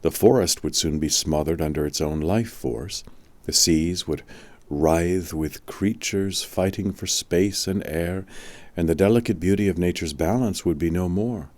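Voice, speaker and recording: Really deep guy reading a spiritual audiobook, slow deliberate phrasing, dry recording. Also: a little mellow, dry recording. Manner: measured, deliberate. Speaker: audiobook narrator. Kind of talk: scripted narration of spiritual lessons.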